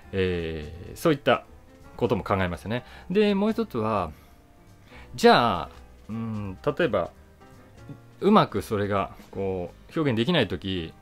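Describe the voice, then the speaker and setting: Guy in his 40s chatting casually to camera, lowish voice that swings up and down a lot, faint music behind. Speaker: actor and voice actor hosting his own channel. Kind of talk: casual reflective monologue to camera. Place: small indoor room, seated before camera.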